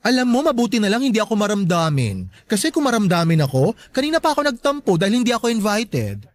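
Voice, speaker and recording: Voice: mid-range voice, sing-song, fast, dry recording, natural voice. An actor playing a playful, teasing, flamboyant best friend in a radio drama, with sassy Filipino delivery and theatrical exclamations. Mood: surprised, dramatic.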